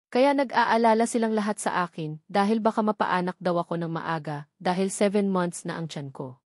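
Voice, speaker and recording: Female narrator, Filipino accent, medium pitch, scripted first-person storytelling with very little room sound. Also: a little mellow, no echo, studio quality. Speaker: audiobook narrator.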